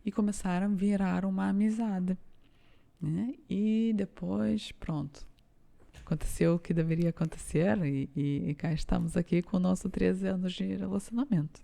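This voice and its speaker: A woman's voice: on the low side, steady tone, moderate pace, vocal fry, dark tone. A Lithuanian-born woman, co-hosting a podcast and telling stories in a casual, hesitant way as she searches for words. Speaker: podcast co-host. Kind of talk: casual conversational storytelling.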